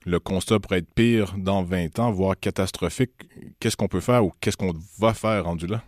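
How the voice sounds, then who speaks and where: A man from Montreal with a Quebec French accent, hosting a radio interview in a halting, conversational way, often rephrasing. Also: deep voice, darkish tone, no echo, clean studio sound. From Montreal, Canada.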